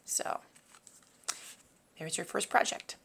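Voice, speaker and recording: A woman hosting a casual craft tutorial in a raspy, hoarse voice, talking informally and a little haltingly as she works. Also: a bit bright. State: hoarse, throat-clearing from long talking. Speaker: host of a papercraft tutorial. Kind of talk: casual craft demonstration, talking while working.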